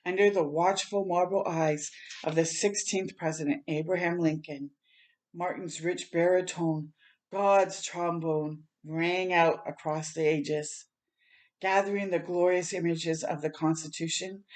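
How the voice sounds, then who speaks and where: A woman's voice: fairly low pitch, fairly even intonation, unhurried, a little mellow. A woman reading a picture book aloud for children as a storytime host, in a dramatic, heartfelt and emphatic manner.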